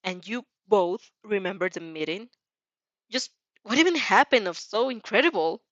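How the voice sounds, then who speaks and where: A woman's voice: medium pitch, animated, moderate pace, a bit bright, dry recording, lightly acted. Scathing teenage schoolboy, read by a woman, dramatic and incredulous, dry and slightly dull home recording. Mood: exasperated. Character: aggravated, scornful teenage boy student, dramatic and scolding. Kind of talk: amateur fan fiction character reading.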